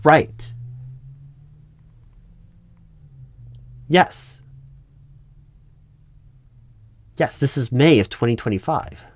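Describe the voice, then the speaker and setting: A man playing a politely incredulous recruiting manager in an improvised audio roleplay, speaking aloud in a halting, stammering way and repeating words. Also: medium pitch, lively intonation, no echo, close to an everyday voice. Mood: flustered, disbelieving. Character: flustered, politely incredulous male recruiting manager interviewing someone. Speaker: job interviewer. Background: computer keyboard typing.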